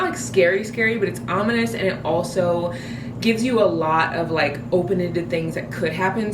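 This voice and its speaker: A young American woman with a slightly crackly, tired voice, chatting casually and rambling in an informal book vlog. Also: fairly low pitch. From US Midwest. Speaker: book vlogger. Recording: roomy echo, distant mic, clear background noise.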